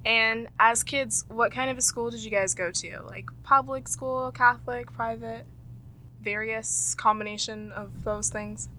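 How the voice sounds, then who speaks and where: Bright-voiced Canadian woman hosting. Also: a little high, fairly even intonation, measured pace, slight background noise, poor audio. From Toronto, Canada. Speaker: host and quizmaster. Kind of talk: presenting a quiz and leading a group discussion.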